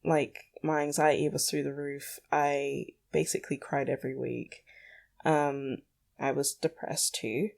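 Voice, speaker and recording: A woman's voice: low voice, little pitch movement, unhurried, gravelly, darkish tone. A young adult woman hosting a solo podcast in a casual, candid, slightly exasperated rambling style. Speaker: podcast host.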